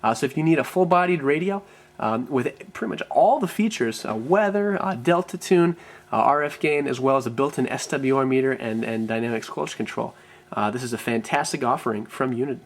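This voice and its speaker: Guy doing an off the cuff product review, mid pitch voice, bit hesitant with lots of ums and repeats. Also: expressive, brisk. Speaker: product reviewer, radio shop presenter. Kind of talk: unscripted product walkthrough.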